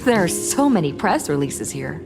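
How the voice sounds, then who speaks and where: A woman's voice: on the low side, big pitch swings, moderate pace, gravelly, over music. An actress playing a cool, observant young woman in a video game, dry and matter-of-fact. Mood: dry, pensive.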